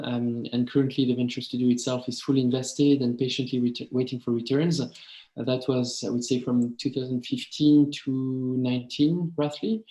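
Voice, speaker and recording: French-accented man, 30s, halting career talk, mellow and monotone, roomy video call sound. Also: mid-range voice. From France. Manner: hesitant, many ums and uhs. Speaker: webinar speaker.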